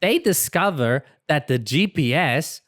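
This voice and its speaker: A storybook reader narrating for young children in an expressive, deliberate way and voicing the characters. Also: medium pitch, no room sound, studio quality.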